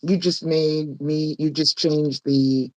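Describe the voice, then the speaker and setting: Raspy-voiced woman host. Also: deep voice, fairly even intonation, slow, darkish tone, poor audio. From Nova Scotia. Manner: chatty, laughs often. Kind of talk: casual live-stream chat. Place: home room with fake northern lights projector.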